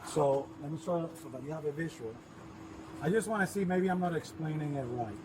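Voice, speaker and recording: A man's voice: fairly high pitch, fairly quick, background noise. A man with a Puerto Rican accent, a police officer patiently explaining a case step by step at a front door. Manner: patient, detailed, methodical. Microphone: body camera.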